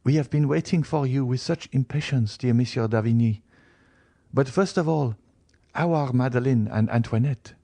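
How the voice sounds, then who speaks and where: A man reading an audiobook, voicing an anxious, gentle young noblewoman in an earnest, pleading manner. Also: medium pitch, moderate pace, vocal fry, dry recording, voice pushed up.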